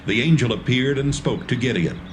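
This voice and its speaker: A man narrating a dramatised audio Bible in a measured, even storytelling manner. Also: mid-range voice, noisy background. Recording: music bed under narration.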